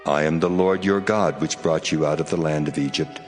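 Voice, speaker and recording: A man's voice: very deep voice, steady tone, normal speed. A man voicing the Lord, a stern commanding male deity, in a solemn, proclaiming dramatized scripture reading. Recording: long hall reverb over music. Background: music.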